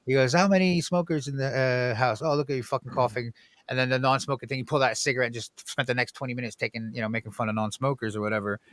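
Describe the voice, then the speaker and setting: A man's voice: mid-range voice, racing, no room sound. Blunt, profane man chatting on a podcast. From Egypt. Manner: blunt, profane, animated storytelling. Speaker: guest. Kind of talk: casual podcast chat.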